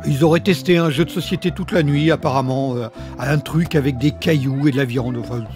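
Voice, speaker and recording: A man's voice: a little high, a little fast, natural voice. A man playing a cheerful, oblivious holidaymaker with a breezy, naive manner in a comedy audio drama. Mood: enthusiastic. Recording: clear music bed behind the voice.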